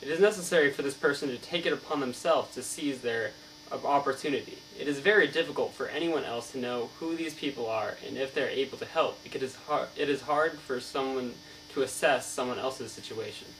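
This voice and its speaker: An earnest, learned novelist, acted by a man, answers interview questions in formal, recited sentences. He trips over the odd word. The voice sits at a middling pitch, recorded away from the mic with mains hum underneath. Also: brisk, fairly bright tone, distant mic, natural voice, poor audio. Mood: earnest, explanatory. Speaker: student playing an interviewed author. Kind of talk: scripted mock interview answers.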